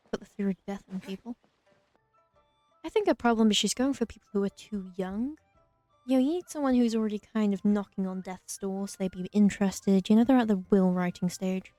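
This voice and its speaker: A woman's voice: mid-range voice, dark tone. A woman giving casual, wry, joking commentary as she plays through a video game. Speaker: let's player. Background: game music.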